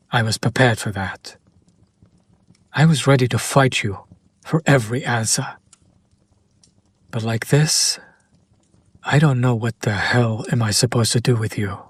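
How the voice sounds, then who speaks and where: A man playing a smug, possessive demon in an intimate roleplay audio drama, teasing and deliberate with breathy pauses. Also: mid-range voice, no echo. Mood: frustrated, threatening. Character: male demon buyer, smug, teasing, possessive, bemused by his captive.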